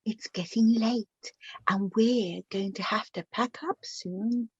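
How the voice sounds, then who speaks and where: A woman playing a gentle, flustered middle-aged widowed mother in a radio drama, halting and repeating her words. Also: mid-range voice, fairly even intonation, breathy edge, no echo, dull audio. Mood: resigned, fussy. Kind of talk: naturalistic radio drama dialogue.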